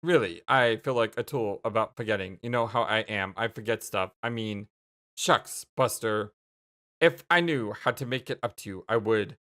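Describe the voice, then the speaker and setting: A woman's voice: low-pitched, big pitch swings, normal speed. A woman voicing an oblivious, apologetic young man who rambles in wordy, old-fashioned folksy slang in a dramatic dialogue. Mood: contrite.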